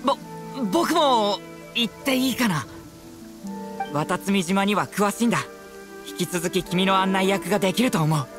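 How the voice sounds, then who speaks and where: An actor as a young male soldier in a video game, friendly and eager to help. He speaks modestly with slight hesitation, over clear music and rain. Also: medium pitch, animated, normal speed, long pauses, natural voice. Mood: hesitant, eager. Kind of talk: Japanese video game voice acting.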